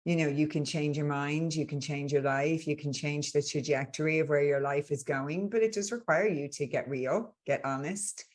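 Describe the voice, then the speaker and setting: A woman's voice: low-pitched, fairly even intonation, moderate pace, few pauses, a little mellow. A woman in her forties with an Irish accent from Dublin, an earnest, upbeat coach talking as a podcast guest. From Ireland. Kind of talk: conversational interview.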